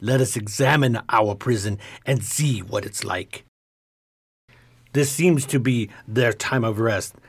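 A man's voice: mid-range voice, takes it slowly, slightly rough. A man playing a genteel, brave old stage magician in a radio play, with a formal, avuncular delivery. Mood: calm, grave.

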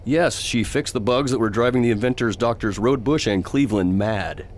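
A man playing a calm, reasonable patrol chief in a science fiction radio drama, composed and patient. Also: medium pitch, a little fast, slight background noise, close to an everyday voice. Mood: calm, assured.

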